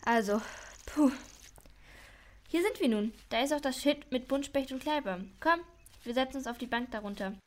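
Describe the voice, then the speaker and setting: A woman's voice: sits high, normal speed, lightly acted. A woman playing an eager, talkative child detective in a children's audio drama, reasoning aloud with emphasis. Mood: expectant.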